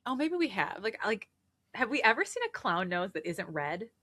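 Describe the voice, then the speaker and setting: A woman's voice: mid-range voice, sing-song, moderate pace, fairly bright tone, no room sound, studio quality. A woman co-hosting a comedy podcast in casual, joking banter. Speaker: podcast co-host.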